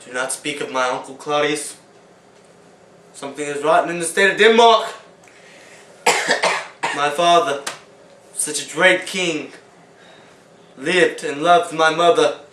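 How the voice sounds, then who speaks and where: A man's voice: fairly high pitch, slow pace, pauses often, a bit bright. A young man playing a gloomy prince who grieves his father, speaking in a stilted, old-fashioned way as a talk show guest. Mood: bitter. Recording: echoey room, far from mic, faint hiss.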